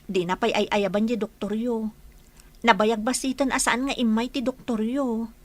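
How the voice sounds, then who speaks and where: A woman's voice: a little high, steady tone, normal speed, close to an everyday voice. A woman playing a meek, obedient housemaid who answers politely and deferentially in an audio drama. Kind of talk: Ilocano radio-style drama.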